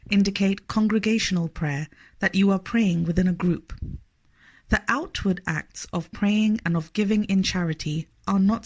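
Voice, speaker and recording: A woman reading a scripted scripture study aloud in a measured, formal manner. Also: mid-range voice, darkish tone. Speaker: reader of a religious study text.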